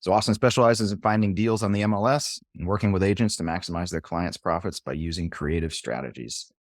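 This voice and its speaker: Man, podcast host, upbeat, encouraging, low voice, dry close sound. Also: a little fast, no room sound. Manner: upbeat, encouraging, casual fillers. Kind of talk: reading a guest bio, then casual interview.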